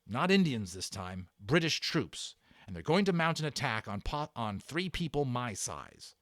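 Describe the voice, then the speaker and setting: Determined young boy, played by a man, storybook hero, terse and commanding, lively swings in pitch, dry close sound. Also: unhurried, dry recording. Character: bossy, determined boy leading a secret battle.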